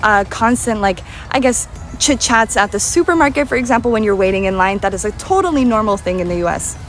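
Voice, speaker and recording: A young woman from the United States talks straight to camera in a relaxed vlog. Her delivery is chatty and loose, with a lot of fillers and restarts. A faint background noise stays under her voice. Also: mid-range voice, fairly quick. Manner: chatty, leans on fillers like definitely and um. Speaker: vlogger. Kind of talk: casual talking-head vlog.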